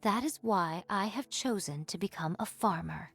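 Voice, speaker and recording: A woman's voice: medium pitch, moderate pace, creaky, slightly rough, soft background music. A woman voicing a solemn warrior who speaks in formal, old-fashioned phrases, in anime game style. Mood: solemn, reflective. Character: solemn archaic-speaking android swordswoman turned would-be farmer.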